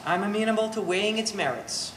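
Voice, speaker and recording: A woman's voice: medium pitch, fast, natural voice. A woman playing a resentful, bookish adult daughter in a stage play, speaking with wordy sarcasm. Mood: sardonic, pedantic. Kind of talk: live stage play acting. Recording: echoey hall, distant mic, faint noise.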